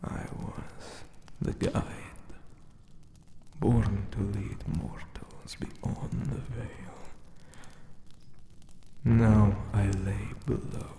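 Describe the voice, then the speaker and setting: A man voicing a lonely, ancient male jackal-god monster guarding a tomb, whispering breathily in a solemn, formal ASMR roleplay with deliberate pauses. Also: on the low side, warm tone.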